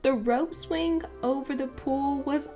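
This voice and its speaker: A woman voicing lively, blunt schoolchildren in a storybook, in an exclamatory, childlike manner. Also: high voice, slow, soft background music, voice pushed up. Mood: excited. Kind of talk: children's storybook character voices.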